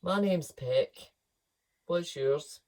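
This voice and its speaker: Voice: mid-range voice, very slow, darkish tone, recorded from a distance, voice pushed up. A reader doing a haughty grumpy parrot. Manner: indignant, scolding. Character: grumpy, haughty large grey parrot, male. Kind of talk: storybook reading.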